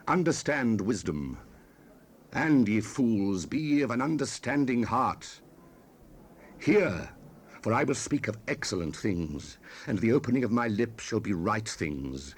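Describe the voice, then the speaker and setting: A man's voice: on the low side, husky, full character voice. Man proclaiming as exalted, righteous spirit of Wisdom. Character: Wisdom personified, proud, righteous, ancient female spirit. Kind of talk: dramatized Bible reading. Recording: 1970s cassette rip with hiss.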